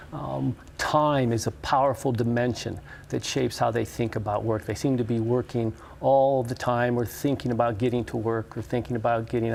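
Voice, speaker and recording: An American man, middle-aged or older, answering interview questions as a professor, thoughtfully and with halting, pause-filled phrasing. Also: medium pitch, creaky, a little mellow, slight background noise. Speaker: labor professor and interview guest. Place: TV studio.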